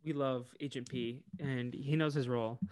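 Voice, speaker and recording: A man co-hosting a podcast, recapping a cartoon episode in casual chat, animated and laughing often. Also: medium pitch, normal speed, no echo. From United States. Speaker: podcast co-host.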